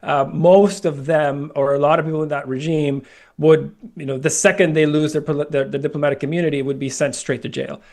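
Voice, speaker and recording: A man's voice: fairly high pitch, level tone, a little fast, darkish tone. A middle-aged man from Venezuela, a guest in a news interview, talking earnestly with many fillers and restarts. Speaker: guest, crypto company co-founder. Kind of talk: casual interview talk.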